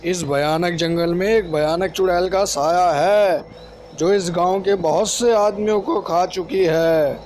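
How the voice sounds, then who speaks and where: Guy doing a village man, high voice, slow and dramatic, horror cartoon. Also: steady tone, a little mellow, slight background noise. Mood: alarmed, ominous. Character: rustic village man, alarmed, warning of witches. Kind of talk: Hindi cartoon horror story acting.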